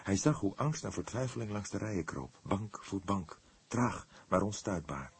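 A man reads a detective novel as a dramatic, measured and suspenseful audiobook narration. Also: fairly low pitch, expressive, breathy edge.

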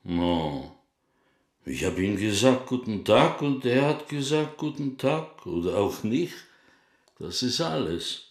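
Slow, wary shopkeeper, echoing room. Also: male voice, mid-range voice, big pitch swings. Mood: dry, dismissive. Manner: slow, rhetorical, shrugging, inverted word order. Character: wary, deferential butcher shopkeeper with dry disdain. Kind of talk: radio drama acting. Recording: roomy, echoing radio-play interior.

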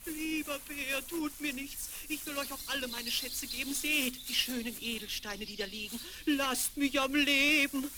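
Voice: fairly even intonation, unhurried, hardly any pauses. An actor voicing a screeching, ungrateful little old dwarf in a fairy-tale radio play for children. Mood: terrified pleading. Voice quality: screeching, shrill. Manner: scolding, indignant, quarrelsome. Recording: crackly 1970s vinyl record.

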